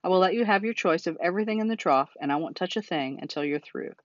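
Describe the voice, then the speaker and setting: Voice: mid-range voice, expressive, quick pace, no room sound, highs cut off, lightly acted. A reader voicing an earnest, emotional young male pig from a children's storybook in a pleading, dramatic way. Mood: desperate pleading. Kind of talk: children's storybook character reading.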